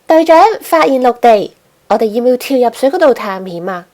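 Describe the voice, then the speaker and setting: A woman as an eager, very high-voiced little penguin. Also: very animated, a little fast, mellow. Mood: excited, urgent. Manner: urgent, bold calls. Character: brave, eager young penguin explorer. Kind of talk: children's storybook character voice.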